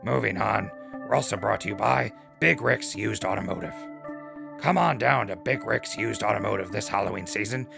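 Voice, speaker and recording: A wry wasteland broadcaster played by a man talks in dry radio patter. His voice is low and heavily gravelled, with clear music behind it. The style is audio drama. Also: normal speed. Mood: salesman patter. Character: wry, sardonic male wasteland radio host.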